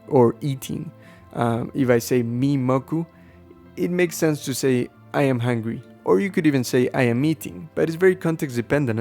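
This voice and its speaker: A man whose first language is Spanish, explaining things casually and haltingly as a podcast host, thinking aloud as he goes. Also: medium pitch, darkish tone. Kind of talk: casual unscripted explaining to listeners.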